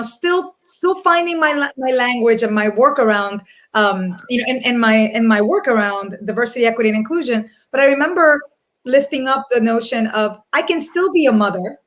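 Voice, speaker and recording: This is a middle-aged woman from the Dominican Republic with a fairly high voice, speaking on a panel over a video call. She sounds earnest and reflective, and she often stops to restart a thought. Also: fairly bright tone. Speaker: panelist, corporate people executive. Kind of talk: panel discussion.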